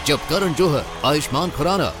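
A man doing an energetic, punchy promotional voice-over. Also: a little high, racing. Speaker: advert voice-over announcer.

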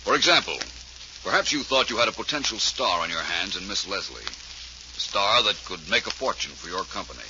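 A shrewd radio detective with a low, deep voice. He is measured, urbane and dryly courteous, coolly probing, on a muffled, hissy 1940s recording. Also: very animated.

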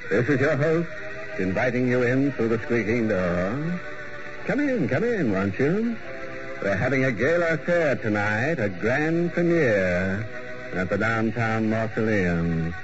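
A man's voice: medium pitch, background noise. A male host of a 1940s horror radio show, introducing with mock-genial macabre puns. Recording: muffled old radio broadcast with music.

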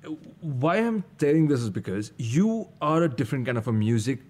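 A man's voice: mid-range voice, very animated, fairly quick, a little mellow. A man in his thirties hosting a casual podcast interview, agreeing often and chatting easily. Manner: affirming, lots of back-channel agreement. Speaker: podcast host.

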